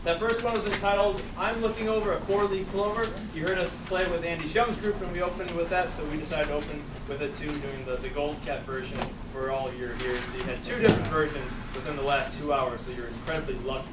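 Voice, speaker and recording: Loud young bandleader, far off. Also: male voice, on the high side, fairly even intonation, fast, hardly any pauses, echoey room, very noisy. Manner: loud, chatty, joking. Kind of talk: live stage announcements. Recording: distant crowd-side amateur festival recording.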